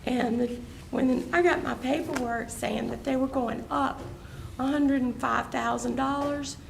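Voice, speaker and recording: A hoarse older woman speaks earnestly, laying out her hardships to a review board. Her voice is heavily gravelly and sits a little high. Noticeable noise runs under the recording of the meeting room. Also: fairly even intonation, a little slow. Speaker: property owner appealing. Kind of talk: public hearing testimony.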